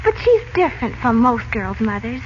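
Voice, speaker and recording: Anxious American teen girl, high girlish voice, earnest, 1940s radio drama, noisy old recording. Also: sing-song, normal speed, muffled recording. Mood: earnest, worried. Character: American teenage girl, eager, naive, anxious daughter seeking her father.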